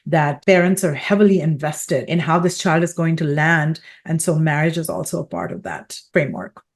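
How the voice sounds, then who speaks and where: Podcast host, woman, middle-aged, from Pakistan; low-pitched, measured, explanatory, lots of "you know". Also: lively intonation. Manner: thoughtful, explains with many fillers and restarts. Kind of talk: conversational interview.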